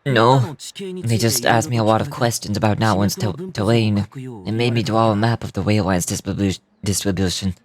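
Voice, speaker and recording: Woman voicing a low-pitched, meek young man. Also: normal speed, a little mellow, dry recording. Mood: meek, confessing. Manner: earnest, deferential. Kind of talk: game dialogue voice acting.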